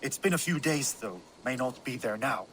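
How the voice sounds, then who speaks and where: A man's voice: mid-range voice, lively intonation, moderate pace, fairly bright tone, slight background noise, close to an everyday voice. A man playing a helpful Viking villager in a video game, reflective and a little hesitant. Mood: pensive. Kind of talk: video game dialogue acting.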